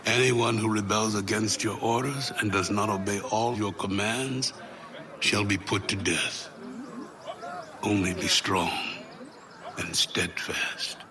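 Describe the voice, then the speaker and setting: Loyal tribesman, low voice, solemn, audio scripture drama, clear crowd behind. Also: slow pace. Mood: loyal, resolute. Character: loyal Israelite tribesman pledging obedience for his people.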